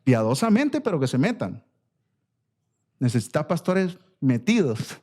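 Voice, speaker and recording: A man's voice: fairly high pitch, big pitch swings, unhurried, a little mellow. A man with a Latin American Spanish accent preaching an expository sermon as a pastor, emphatic and exhorting, repeating phrases and asking rhetorical questions. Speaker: pastor preaching to his church.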